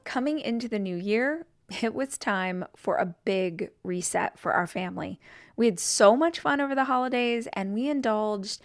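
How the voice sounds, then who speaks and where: Upbeat woman reading a sponsor spot. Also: medium pitch. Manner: upbeat, spells out a web address slowly. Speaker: host reading an ad. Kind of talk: read sponsor ad.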